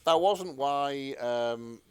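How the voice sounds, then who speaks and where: A man's voice: medium pitch, drawn-out words, smooth, dry recording. A man co-hosting a podcast in casual banter, hesitant and self-deprecating as he talks. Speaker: podcast co-host.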